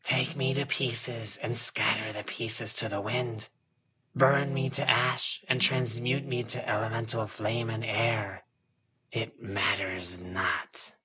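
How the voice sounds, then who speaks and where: A man voicing a withered, cursed baby mummy, sinister and doom-laden, in a slow, ominous, breathy delivery for an audiobook. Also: mid-range voice, steady tone, bright, forceful tone, a little hiss or hum. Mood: sinister, menacing.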